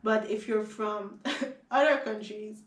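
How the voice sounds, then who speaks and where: A young woman from Haiti with a Haitian accent, a Creole speaker, chatting as an interview guest, animated and full of fillers and restarts. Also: mid-range voice, slow pace, roomy sound, far from the mic. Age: young adult. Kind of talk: casual conversation.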